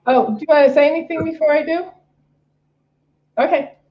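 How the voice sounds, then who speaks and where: A woman's voice: high voice, steady tone, fairly quick. A woman speaking as a minister in an online church service, moving between affirmations, announcements and prayer. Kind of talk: call-and-response affirmation, informal announcements and spoken prayer. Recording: echoey room, dull lo-fi online-meeting audio.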